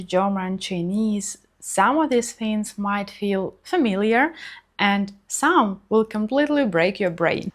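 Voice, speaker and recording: Young woman. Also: medium pitch. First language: Ukrainian and Russian. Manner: clear, enthusiastic, listing examples. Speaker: language educator, channel host. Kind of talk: scripted educational explainer.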